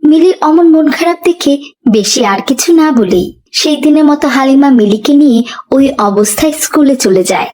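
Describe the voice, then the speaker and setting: A woman's voice: high voice, expressive, fairly quick, darkish tone. A woman giving scripted narration for a children's story, as the narrator.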